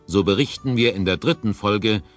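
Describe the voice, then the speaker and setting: Deep-voiced male storyteller narration. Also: faint music behind. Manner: measured, storytelling. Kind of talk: scripted audiobook trailer narration.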